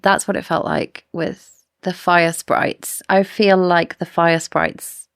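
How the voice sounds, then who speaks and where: A woman's voice: on the low side, level tone, slow pace, vocal fry, clean tone, no echo, studio quality. A woman co-hosting a podcast, casually discussing books in an explanatory, speculative way. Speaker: podcast co-host.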